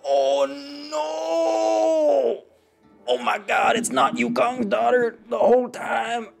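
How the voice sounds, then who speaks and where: A man's voice: extremely high pitch, fairly even intonation, takes it slowly, gravelly. A young man doing sarcastic, unimpressed, casual commentary as he streams a game into a close microphone. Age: young adult. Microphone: close desk microphone. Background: game music.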